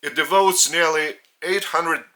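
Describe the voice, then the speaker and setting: Lithuanian guy doing a spiritual sermon, really sharp forceful voice, halting and emphatic. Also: on the high side, steady tone, slight background noise. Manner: deliberate, halting, emphatic on key words. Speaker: spiritual teacher and religious leader. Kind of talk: spiritual sermon addressed to camera. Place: indoors.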